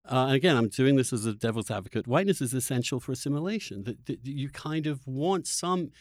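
A man talks as the host of a discussion, in a medium-pitched voice with a dry, close sound. He works through his points haltingly, often repeating a word or phrase before moving on. The style is conversational and argumentative. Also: animated, no room sound. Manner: halting, repeats words while arguing a point. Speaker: podcast host.

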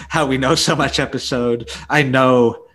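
A man co-hosting a podcast, discussing book news casually in a thoughtful, halting way with self-corrections. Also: mid-range voice, steady tone, fairly bright tone. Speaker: podcast co-host.

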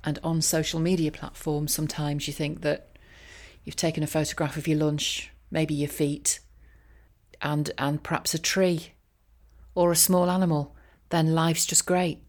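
Low-pitched woman, chatty and wry. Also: little pitch movement, unhurried, a little mellow. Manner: rambling, self-deprecating asides. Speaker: voiceover artist and career coach sharing a personal update. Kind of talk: casual, chatty monologue.

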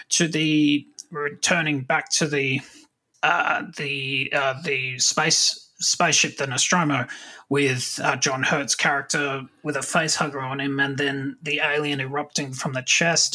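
A man in his late thirties hosting a casual chat about films and comics, often halting as he searches for words. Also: mid-range voice, sharp tone. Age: late 30s. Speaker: podcast host.

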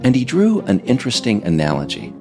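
A man hosting a podcast series, reading scripted narration in a measured, emphatic, explanatory way. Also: mid-range voice, huge pitch swings, music in the background. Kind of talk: scripted narration, audio essay read aloud.

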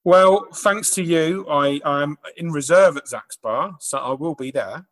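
A man's voice: on the high side, measured pace, a bit bright. A man co-hosting a casual political chat podcast, speaking in a blunt, emphatic way and dropping dry asides. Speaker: podcast co-host and comedian.

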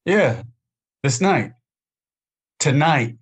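A man's voice: on the high side, big pitch swings, extremely slow, husky, heavily acted. A man playing an earnest young chorus dancer. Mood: grateful. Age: young adult. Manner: earnest, pleading. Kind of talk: audio play acting.

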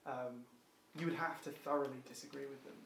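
A man speaking at a middle pitch in a reflective group discussion. He talks slowly and hesitantly, restarting sentences and leaning on fillers. The room gives his voice a roomy echo. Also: fairly even intonation, vocal fry, a bit bright, a little hiss or hum. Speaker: discussion participant.

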